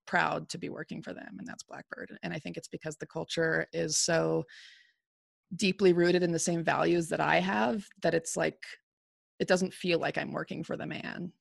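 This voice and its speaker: A woman hosting a podcast, talking in a relaxed, conversational way. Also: sits low, normal speed, creaky, no echo, clean studio sound. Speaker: podcast host. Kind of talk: casual podcast chat.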